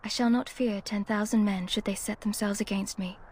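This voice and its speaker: A woman's voice: mid-range voice, fairly even intonation, brisk, darkish tone, a little hiss or hum, natural voice. An actress playing a devout woman in a fantasy game, reciting scripture in a solemn, declamatory way. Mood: solemn prayer. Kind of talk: fantasy video game acting.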